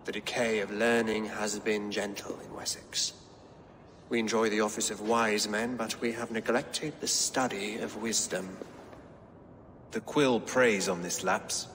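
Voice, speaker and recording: Learned, courtly medieval man, unhurried. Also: on the low side, fairly even intonation, fairly bright tone, long reverb tail, a little hiss or hum. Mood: measured, earnest. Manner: measured, formal, eloquent. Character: learned, courtly male medieval Saxon adviser. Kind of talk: dramatic video game acting.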